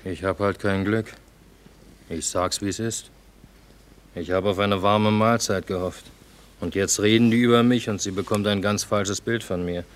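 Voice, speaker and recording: An actor playing a grumbling, faded middle-aged army cook in a radio play, speaking drily. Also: male voice, on the low side, fairly even intonation, moderate pace, natural voice. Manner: dry, grumbling, colloquial. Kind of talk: German radio play acting.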